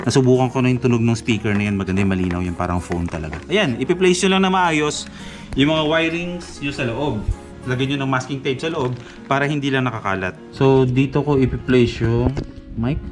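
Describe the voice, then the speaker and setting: A man's voice: medium pitch, brisk. Young male vlogger, casual instructive tone, frequent check-ins, over background music. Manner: instructive, talks viewers through each step, frequent "okay?" checks. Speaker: moto vlogger demonstrating gear. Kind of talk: casual how-to walkthrough. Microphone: camera mic.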